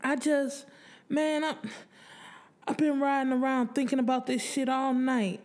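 A woman's voice: sits high, level tone, measured pace, a little mellow, pitched above the performer's own voice. A woman voicing a restless, streetwise young man in an audiobook, slangy and urgent. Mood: agitated, earnest. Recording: music bed under the voice.

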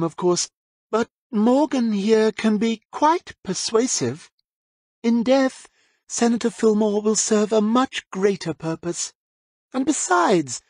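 A man's voice: sits high, no echo, clean studio sound, pitched above the performer's own voice. A man voicing a gleeful, cruel aristocratic villainess in an audiobook, condescending and theatrically polite. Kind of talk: audiobook character voice.

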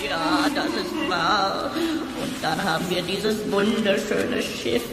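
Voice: medium pitch, slow. An actor playing an aggrieved, wistful cartoon ghost in a children's audio play, plaintive, with ghostly reverb and music behind.

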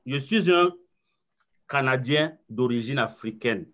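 A man's voice: medium pitch, lively intonation, takes it slowly, fairly bright tone. A man in his forties from Cameroon, a coach giving an online presentation in an earnest, self-assured way, often repeating words as he thinks. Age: 40s. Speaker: coach and trainer. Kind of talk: webinar presentation. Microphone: video call. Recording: slightly muffled video call audio.

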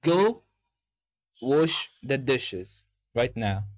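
Man playing a whiny hedgehog hero, unhurried. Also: mid-range voice, very animated. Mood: irritated, bossy. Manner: rambling, halting, petulant. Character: whiny, bossy young male hedgehog hero. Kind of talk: improvised comedic video game fandub. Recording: music under the voice. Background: music.

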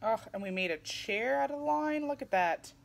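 Animated woman reading to kids. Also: on the high side, normal speed, gravelly. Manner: animated, exclamatory, with audible gasps. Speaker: children's picture book reader. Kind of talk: read-aloud to young children, with asides. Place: small indoor room, seated in armchair.

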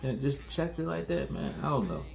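A man's voice: medium pitch, big pitch swings, a little fast, creaky, over music. A man in his thirties reviewing a beer to camera at home, talking casually in slang and repeating himself. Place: small room at home, indoors.

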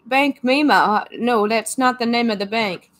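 A forgetful eighty-nine-year-old grandmother, as a woman puts her on, rambling sweetly and mishearing every question. Her voice sits a little high. She sounds in no hurry at all. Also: moderate pace, fairly bright tone, close to an everyday voice. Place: small home room, indoors.

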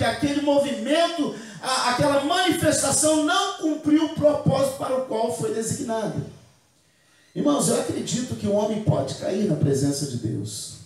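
A man's voice: very high voice, normal speed. A man in his thirties preaching a Pentecost sermon as pastor in a bare church hall, speaking into a handheld microphone and leading the congregation in repeating phrases. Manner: preaching with call-and-response, gesturing with a handheld mic. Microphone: handheld mic. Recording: very echoey hall, from a distance.

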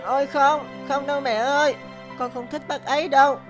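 A small baby bird from a cartoon, played by a woman, talks in a fairly high, childlike voice. The little one is stubborn, whiny and distrustful, with clear music in the background. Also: steady tone, fairly quick, close to an everyday voice. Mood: pouty, distrustful. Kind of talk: cartoon dubbing. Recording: music behind the voice.

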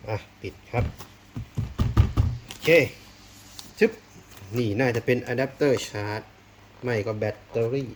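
Man, medium pitch, casual unboxing host, thinking aloud, polite, faint noise, rustling and clicks. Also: steady tone, a little slow, pauses often. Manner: thinks aloud, exploratory, frequent polite particles. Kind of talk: casual hands-on product unboxing commentary.

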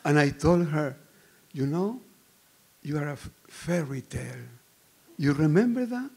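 A man's voice: on the high side, animated, measured pace, gravelly, a little mellow, husky. An older man from the audience with a Spanish-speaking accent, telling a fond, sentimental story about an old friend. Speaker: audience member. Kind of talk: heartfelt tribute.

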